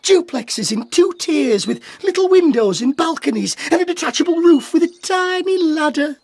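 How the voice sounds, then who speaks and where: A man playing an excitable, lovestruck young beekeeper in a comedy audio drama, flustered, stammering and eager. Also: extremely high pitch, normal speed, no room sound. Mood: eager, boastful.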